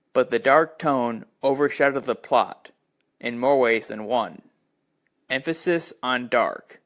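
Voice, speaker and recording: A man's voice: medium pitch, a bit bright. A man hosting a podcast and reading a scripted review in the manner of a narrator. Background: music.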